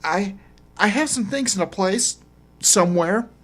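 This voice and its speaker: Guy doing a scared woman stowaway for a zombie audiobook, voice lifted high, hesitant n pleading. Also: expressive, a little slow, fairly bright tone, full character voice. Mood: nervous, faltering.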